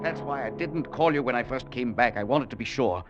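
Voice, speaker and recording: A man's voice: mid-range voice, a little fast, dull audio, loud music behind the voice, close to an everyday voice. A man playing a nervous, stammering professor. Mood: agitated. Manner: stammering, agitated. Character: nervous, haunted middle-aged male professor. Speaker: professor and scientist. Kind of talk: 1940s radio acting.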